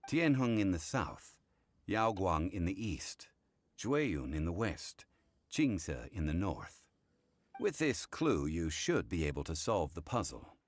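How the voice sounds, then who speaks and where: Deep-voiced, measured scholarly guide. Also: male voice, big pitch swings, dry recording, full character voice. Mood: patient, guiding. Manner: measured, formal, storyteller-like. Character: calm scholarly man, a knowing guide recounting old legends. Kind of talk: video game voice acting.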